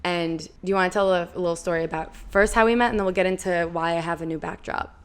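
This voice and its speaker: A woman's voice: on the low side, quick pace, clear, resonant tone. A young woman hosting a travel podcast, casual and upbeat, talking straight to her viewers. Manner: upbeat, addresses viewers directly. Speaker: podcast host. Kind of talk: casual chat.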